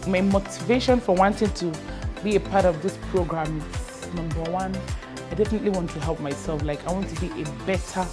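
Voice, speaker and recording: A woman's voice: on the low side, brisk, over music. A woman, speaking earnestly and a little hesitantly as a reality show contestant. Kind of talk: confessional interview.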